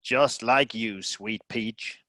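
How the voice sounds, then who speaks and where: An actor playing a blustering, sarcastic, bullying rich American husband in a comedy radio play. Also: male voice, on the low side, pushed and bright, no echo, studio quality. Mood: doting. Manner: brash, mocking, quick to threaten.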